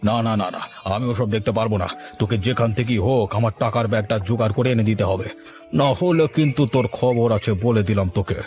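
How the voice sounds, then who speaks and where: Man voicing a commanding village man, quick delivery, stern, music under. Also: mid-range voice, a little mellow, close to an everyday voice. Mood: angry. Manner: commanding, threatening, brisk. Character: stern bossy village man, middle-aged. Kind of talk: Bengali cartoon voice acting.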